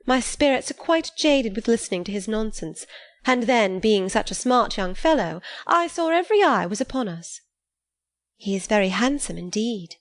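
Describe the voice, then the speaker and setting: A woman reading an audiobook, voicing an affected, gushing young woman who talks in high-flown exclamations.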